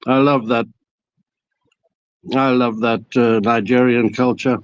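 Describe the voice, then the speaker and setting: A man's voice: medium pitch, flat delivery, takes it slowly, smooth, no room sound. A man calling into a live show, defensive and stammering as he argues with the host. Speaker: caller. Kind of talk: call-in argument. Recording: dry, muffled call-in audio.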